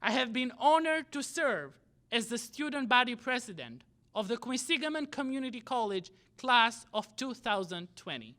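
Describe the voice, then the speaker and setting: Young man, Albanian accent, student speaker, bright and lively, measured oratory. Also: very high voice. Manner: measured, deliberate oratory in short phrases. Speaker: student body president giving a graduation speech. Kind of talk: prepared commencement speech.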